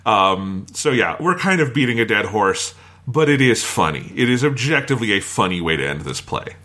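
A man's voice: lowish voice, sing-song, normal speed, sharp tone. A man hosting a podcast, chatting informally and swearing casually. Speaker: podcast host.

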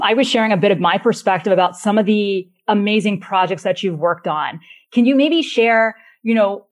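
Encouraging female podcast host. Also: mid-range voice. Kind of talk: podcast intro and interviewing.

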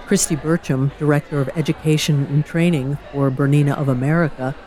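A woman's voice: deep voice, steady tone, brisk, dark tone, low-quality recording. A woman giving upbeat, scripted narration for an event video. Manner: upbeat promotional delivery.

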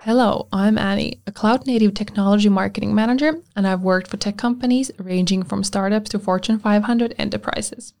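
Gravelly-voiced woman podcast host. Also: mid-range voice, fairly even intonation, darkish tone. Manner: measured, reads intros and questions. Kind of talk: podcast hosting, partly scripted.

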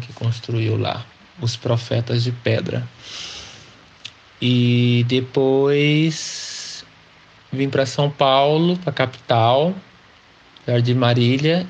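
Man, 40s, from Brazil. Unhurried, chatty interview storyteller; slightly muffled audio with low background noise. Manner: chatty, often tags sentences with a questioning "né". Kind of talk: slow personal storytelling. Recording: slightly dull recording with faint background noise.

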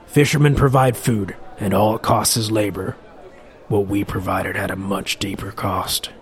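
A brooding, hulking ex-soldier, voiced by a male narrator, terse and gruff. His replies come short and flat, with a low, weary weight. A storybook brute with a heavy heart. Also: normal speed, natural voice.

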